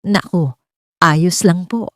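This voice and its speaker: A woman's voice: on the low side, takes it slowly, no room sound, studio quality. A woman voicing a shy, polite young widowed female sales clerk in a romance audio story, answering briefly and deferentially.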